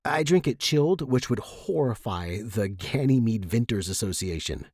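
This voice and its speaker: A man's voice: fairly low pitch, animated, normal speed, darkish tone, slightly rough, no room sound, clean studio sound. A man narrating first-person fiction from a script as an audiobook reader.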